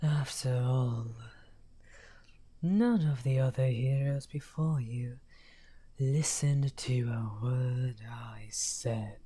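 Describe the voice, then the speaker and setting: A man playing a misunderstood outcast necromancer wizard, smugly amused and taunting, in an unhurried, breathy, often half-whispered ASMR roleplay. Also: medium pitch, dark tone. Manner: unhurried, taunting, drawn-out phrasing. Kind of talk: slow theatrical ASMR roleplay.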